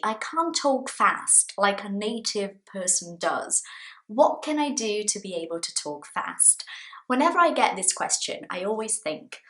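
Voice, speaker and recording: A woman from Brazil, a native Portuguese speaker with a Brazilian accent, teaching an English lesson in a slow, deliberate, explanatory manner. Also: mid-range voice, a bit bright, reverberant, rough recording. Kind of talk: language lesson talking to viewers.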